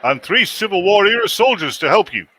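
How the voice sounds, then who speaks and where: Male co-host, slightly high, expressive, casual wisecracks, background music. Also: brisk. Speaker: co-host joking over an old radio show. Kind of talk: casual comic riffing.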